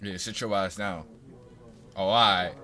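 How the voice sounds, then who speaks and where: Bright, low-ish American male game streamer. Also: steady tone, slow. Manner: slangy, mock-confrontational, repetitive. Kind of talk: casual joking gameplay commentary.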